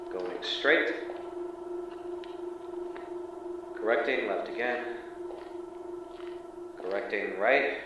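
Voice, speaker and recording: A man's voice: fairly low pitch, background noise. An actor playing a wary, irritable male field agent in an audio drama, sighing and hesitant as he reports back. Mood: weary, concentrating. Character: wary, exasperated male field agent exploring an anomalous hallway. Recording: distant, muffled, echoey hallway.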